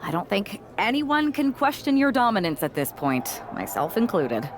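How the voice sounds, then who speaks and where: A woman's voice: mid-range voice, very animated, normal speed, slight background noise, close to an everyday voice. A woman playing a wary, easily affronted wrestling authority figure in a video game cutscene. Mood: polite, probing.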